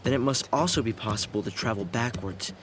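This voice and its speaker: An actor playing an earnest, idealistic young research director in a video game, speaking in a measured, articulate way. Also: male voice, on the low side, over music, lightly acted. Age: young adult.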